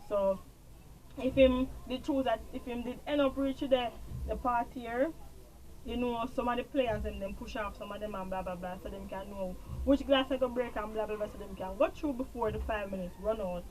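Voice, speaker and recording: A woman's voice: fairly high pitch, moderate pace, darkish tone, a little hiss or hum, low-quality recording. A woman with a Jamaican accent recapping a TV series in a casual, rambling way, repeating herself and trailing off with filler. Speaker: TV show reviewer.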